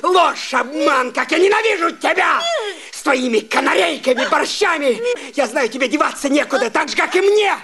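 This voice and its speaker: An actor playing a despairing, bitter man in his thirties who shouts hysterically in a film. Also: very high-pitched, lively intonation, moderate pace, creaky, a crowd in the background, exaggerated voice. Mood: bitter contempt. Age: 30s. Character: despairing 35-year-old man, bitter and self-loathing.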